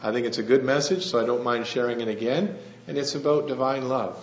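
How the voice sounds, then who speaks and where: Soft-spoken preacher in an echoing hall. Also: male voice, medium pitch. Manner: soft-spoken, earnest, with frequent "uh" pauses. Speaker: missionary preacher. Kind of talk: sermon. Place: church hall. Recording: roomy lo-fi church recording with faint hum.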